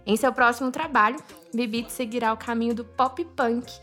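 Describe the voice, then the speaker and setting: Woman, Goiás accent, higher-pitched, podcast host, upbeat, music bed faint behind. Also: fairly even intonation, moderate pace, fairly bright tone. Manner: upbeat, laughs often, chimes in. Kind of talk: casual interview chat.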